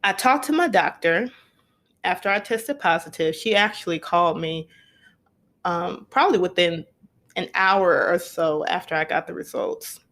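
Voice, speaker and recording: A woman's voice: lowish voice, moderate pace, a bit bright. A young woman hosting a podcast, telling a personal story in an earnest, conversational way and repeating points for emphasis. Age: young adult. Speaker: podcast host.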